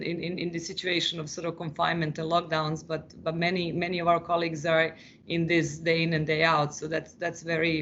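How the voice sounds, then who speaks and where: A man's voice: fairly high pitch, fairly bright tone, lo-fi sound, a little hiss or hum. A man moderating a webinar panel, thoughtful, often repeating words while he searches for them.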